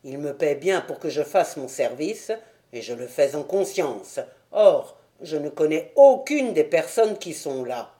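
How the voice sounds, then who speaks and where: Woman playing a burly old-school porter, gruff, low, firm, blunt, storybook character. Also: animated, a little slow, warm tone. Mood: firm refusal. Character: burly gruff male porter, ex-boxer, stubborn and loyal.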